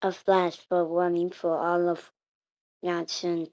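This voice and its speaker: A woman reading out an improvised mock emergency alert in a halting way, repeating and restarting her words. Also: on the low side, completely flat delivery, a little mellow. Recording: muffled, roomy home recording.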